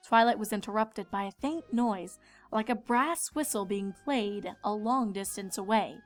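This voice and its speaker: A woman reading scripted narration as a storyteller. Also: on the high side.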